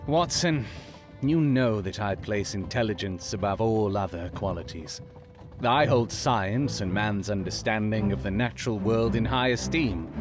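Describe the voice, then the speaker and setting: A man playing a brilliant, proud Victorian gentleman detective in an audio drama, with formal, eloquent and theatrical speech. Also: medium pitch, natural voice. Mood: grave, tender.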